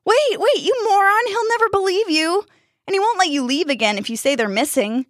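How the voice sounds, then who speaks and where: Excitable boy, woman reader: impatient, blunt, anxious, high urgent storybook voice. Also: brisk, no room sound, clean studio sound. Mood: exasperated, anxious.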